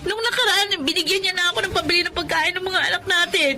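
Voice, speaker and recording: A woman's voice: very high voice, steady tone, fast. An actress with a Filipino accent playing a long-suffering, pleading but defiant wife and mother in a radio drama. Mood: pleading, ashamed. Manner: plaintive, emotional.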